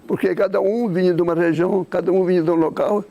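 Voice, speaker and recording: High-pitched, gravelly man. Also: fairly even intonation, fast, warm tone, dull audio. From Italy. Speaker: interview guest. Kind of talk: conversational explanation of culture and history.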